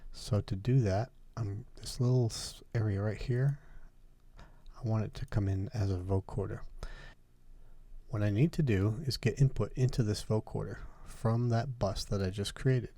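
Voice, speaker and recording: Low, mellow man giving a tutorial. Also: no room sound. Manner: methodical, step-by-step explaining. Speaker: music production instructor. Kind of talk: software tutorial, talking through steps.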